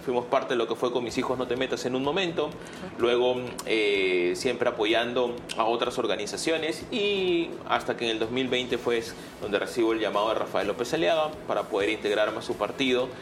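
A man's voice: mid-range voice, normal speed. A man with a Peruvian accent answering interview questions conversationally as a guest, hesitating and adding tag questions. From Peru. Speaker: guest, political candidate. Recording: clear background noise.

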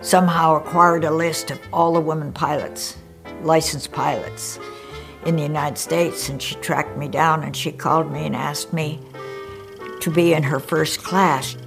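A woman's voice: low voice, fairly even intonation, measured pace, vocal fry, over music. An older woman from Hawaii, a former wartime pilot, telling her memories in an interview with vivid, fond storytelling. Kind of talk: documentary interview, reminiscing.

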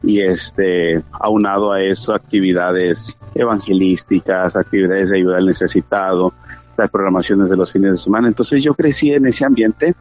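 Earnest Mexican man, lowish voice. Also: fairly even intonation, moderate pace, darkish tone. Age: 30s. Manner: earnest, many fillers and restarts. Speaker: interview guest. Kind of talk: church testimony interview. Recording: dull lo-fi sound with faint music.

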